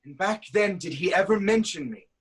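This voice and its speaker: A man's voice: high voice, lively intonation, moderate pace, breathy edge. A man playing an angry, suspicious ancient Greek king in a declamatory, accusing stage tragedy reading. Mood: suspicious, interrogating.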